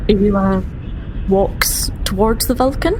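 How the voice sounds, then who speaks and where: A woman's voice: mid-range voice, unhurried, background noise. A woman playing a tabletop role-playing game, describing her character casually in short, hesitant phrases. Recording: loud music behind the voice.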